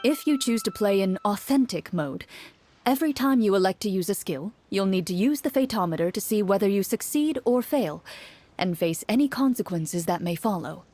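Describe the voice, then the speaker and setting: A woman playing a composed game master who explains rules in a measured, instructive manner in an anime game. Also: mid-range voice, animated, dark tone, no room sound, lightly acted. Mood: explanatory.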